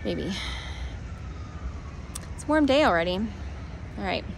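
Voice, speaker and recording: A woman's voice: medium pitch, expressive, a little slow, gravelly, slight background noise. A woman in her forties telling a rambling, flustered story into a phone held at arm's length outdoors, sighing often. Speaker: vlogger. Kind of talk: casual selfie vlog. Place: parking lot outdoors.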